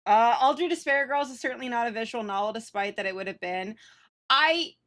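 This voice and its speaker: Casual, sweary woman livestreamer chatting. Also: a little high. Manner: sweary, self-teasing, slangy. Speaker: live streamer. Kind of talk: casual livestream chat with viewers.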